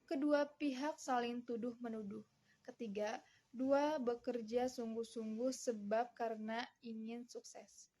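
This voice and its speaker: Higher-pitched, mellow young woman reciting formally. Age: young adult. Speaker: university student presenter. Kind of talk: scripted presentation, reading aloud.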